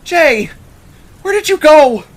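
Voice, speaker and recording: A man's voice: very high voice, very animated, slow, pitched above the performer's own voice, full character voice, poor audio. A man voicing a desperate, caring young female wolf in a dramatic story. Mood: desperate pleading.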